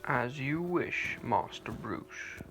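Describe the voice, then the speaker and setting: A man playing a formal, caring, dutiful butler in an amateur superhero radio play, speaking in a measured manner. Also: mid-range voice, lively intonation, creaky, fairly bright tone, soft background music, heavily acted, poor audio. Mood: concerned.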